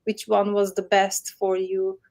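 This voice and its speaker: A woman's voice: medium pitch, completely flat delivery, a little slow, a little mellow. Woman moderator asking winding questions. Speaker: workshop moderator. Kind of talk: moderating an online Q&A.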